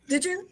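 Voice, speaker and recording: Rambling woman chatting on a livestream. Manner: rambling, repeats herself, switches between English and Spanish; laughs often. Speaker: livestream host. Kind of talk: casual chat.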